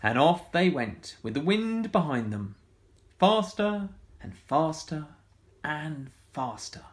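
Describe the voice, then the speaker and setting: A man reading a picture book aloud to schoolchildren, animated and full of sound effects. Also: fairly high pitch, slow. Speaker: storytime reader for schoolchildren.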